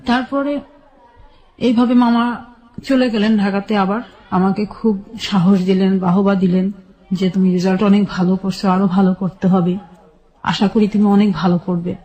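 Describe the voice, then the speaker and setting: Radio guest, woman, Bangladeshi accent, relaxed mellow tone, halting first-person storytelling. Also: mid-range voice, poor audio. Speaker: guest telling her life story.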